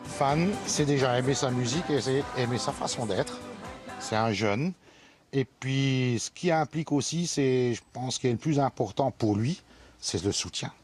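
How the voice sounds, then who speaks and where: A man interviewed in a TV report, answering in a halting way as he searches for words. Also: mid-range voice, faint music behind.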